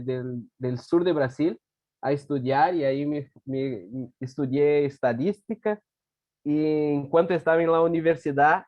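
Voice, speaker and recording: A man from Brazil, a native Portuguese speaker with a Brazilian accent, giving a talk over a video call, often pausing and correcting himself as he mixes in Portuguese. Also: fairly high pitch, no echo. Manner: halting, self-correcting, mixes Spanish and Portuguese. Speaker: guest speaker. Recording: dull, dry video call audio.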